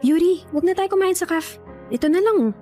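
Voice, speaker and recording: A woman playing a pushy, teasing young best friend in a radio drama, with an expressive, coaxing delivery. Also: very high voice, a little fast. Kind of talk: Tagalog radio drama acting. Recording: music behind the voice. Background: music.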